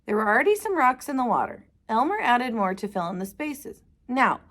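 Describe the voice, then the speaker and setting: Female storybook reader, teacher type, high-ish and very animated, addressing young listeners. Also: fairly quick. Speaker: teacher reading stories aloud for children. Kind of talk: animated read-aloud narration of a picture book.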